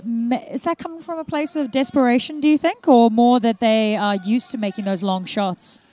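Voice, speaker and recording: A woman's voice: a little high, moderate pace, a little mellow. A woman with a New Zealand accent giving conversational live sports commentary with an inquisitive manner.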